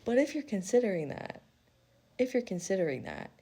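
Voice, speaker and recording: A woman's voice: medium pitch, sing-song, fairly quick, mellow, slightly rough. A woman host giving a casual spiritual reading in a blunt, sweary, conversational way, animatedly acting out quotes as she goes. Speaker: tarot-style spiritual reader and host. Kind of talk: casual intuitive reading, chatty advice.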